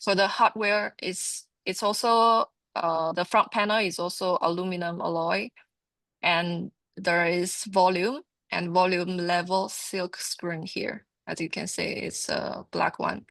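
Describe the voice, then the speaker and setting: A woman giving an informal product training presentation, explaining haltingly and often repeating her words. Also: on the low side, creaky, a bit bright, dry recording.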